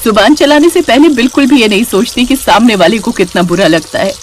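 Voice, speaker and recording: A woman voicing a gentle, dutiful young daughter-in-law in a family story, patient and softly reasoning, with self-respect. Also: fairly high pitch, a little fast, a little hiss or hum, lightly acted. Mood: reproachful.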